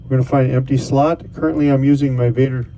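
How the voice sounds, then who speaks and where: A man's voice: mid-range voice, steady tone, fast, dark tone, a little hiss or hum, rough recording. A man casually talking through a device setup step by step as a tutorial host. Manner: casual, talks through each step as he goes.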